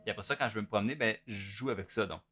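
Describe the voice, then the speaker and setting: A man with a Quebec French accent, a drum teacher giving an informal, explanatory lesson in which he pauses to play between phrases. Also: fairly low pitch, over loud music. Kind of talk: instructional video lesson.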